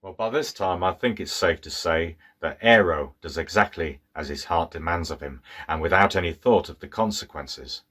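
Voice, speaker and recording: A man's voice: sits low, normal speed, hardly any pauses, a bit bright. A man giving conversational storytelling commentary as a narrator.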